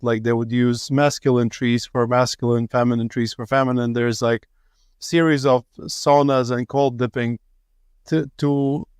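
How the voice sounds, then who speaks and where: A man from Latvia, a Russian speaker, hosting a conversational podcast interview in a halting, reflective way. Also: mid-range voice, clean tone, no room sound, studio quality. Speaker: podcast host.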